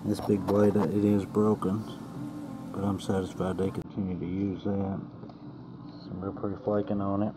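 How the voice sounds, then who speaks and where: A man's voice: low-pitched, little pitch movement, normal speed, low-quality recording. A plainspoken, folksy man musing in short phrases as he casually shows his finds to a handheld camera. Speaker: artifact hunter filming his finds. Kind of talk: casual first-person show-and-tell commentary. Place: outdoors, handheld camera close to the ground. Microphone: handheld camera mic. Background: radio music playing in the background.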